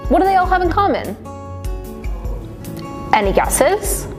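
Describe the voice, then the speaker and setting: A woman's voice: very high voice, very animated, measured pace, over music. A woman reading scripted documentary narration.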